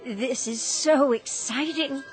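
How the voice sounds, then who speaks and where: An actress playing a timid, polite, easily dazzled wife in a radio drama, with hesitant, meek wonder. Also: female voice, high-pitched, slow, soft background music, rough recording. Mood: timidly delighted.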